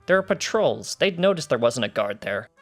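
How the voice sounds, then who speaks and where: A man voicing a skeptical pony guard in a story reading, brisk, incredulous and exasperated. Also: medium pitch, big pitch swings, soft background music. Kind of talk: fan fiction character dialogue.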